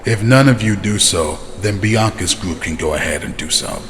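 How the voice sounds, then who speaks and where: A man voicing a calm, watchful vampire clan elder who gives measured, authoritative orders in a scripted fantasy drama. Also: low-pitched. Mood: commanding. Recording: long reverb, faint background noise.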